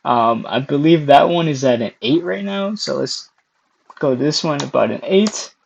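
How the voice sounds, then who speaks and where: Young man, hoarse losing voice, gravelly fry, slightly high, casual tutorial talk, desk mic, keyboard typing. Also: moderate pace. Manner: casual, many fillers, clears throat often. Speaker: tutorial host. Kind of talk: screen-recorded software tutorial.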